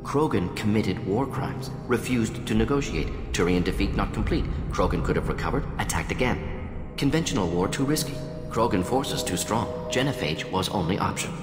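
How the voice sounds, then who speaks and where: A man's voice: lowish voice, lively intonation, moderate pace, close to an everyday voice. A male actor playing a proud, conflicted alien scientist in a video game, speaking in clipped, telegraphic phrases with small words dropped. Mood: firm, justifying. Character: fast-thinking alien scientist doctor, proud, conflicted, defensive. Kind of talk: dramatic video game dialogue. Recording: echoey hall reverb, music behind.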